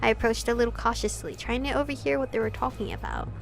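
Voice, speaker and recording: A woman's voice: a little high, fairly even intonation, quick pace, gravelly. A young woman hosting a visual novel playthrough, reading narration and commenting in a casual, informal way. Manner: giggly, informal, swearing. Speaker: gaming video host. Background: music.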